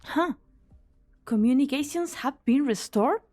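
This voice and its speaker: A woman playing an earnest, anxious young lab researcher with a hesitant, expressive delivery in an English fan dub. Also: high-pitched, measured pace, faint music behind. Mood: relieved.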